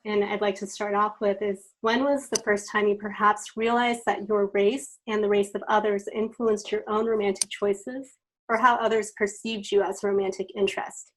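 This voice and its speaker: A woman in her thirties from the United States, hosting a podcast discussion over a video call from her bedroom in a clear, measured way. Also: mid-range voice, fairly even intonation, slight background noise, low-quality recording. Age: 30s. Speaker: podcast host. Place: bedroom at home, indoors. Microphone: video call from home.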